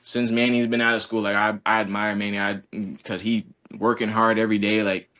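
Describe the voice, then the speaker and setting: A man's voice: fairly low pitch, level tone, a little fast, sharp tone. A man in his twenties, a college basketball player, talking in a rambling, earnest way and saying "like" constantly. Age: 20s. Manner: rambling, heavy use of "like", earnest. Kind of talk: casual podcast conversation.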